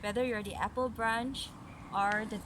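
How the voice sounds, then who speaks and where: High-voiced woman reading to children, noisy recording. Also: fairly even intonation, moderate pace. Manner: instructive, talks to children with questions and comments. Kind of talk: read-aloud fairy tale with chatty asides.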